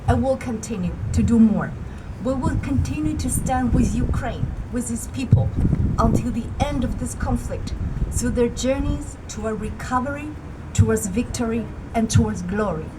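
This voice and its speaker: A woman from Taiwan giving a formal, emphatic speech at an outdoor solidarity rally. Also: a little high. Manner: measured and emphatic, rising to rallying calls. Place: outdoor public gathering. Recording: noisy outdoor recording with passing traffic.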